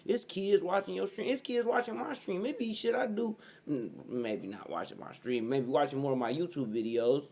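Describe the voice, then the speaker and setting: A man's voice: a little high, normal speed, creaky, few pauses, a little mellow. A man in his early thirties giving blunt, profane reaction commentary as host, keeps saying "bro". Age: 30s.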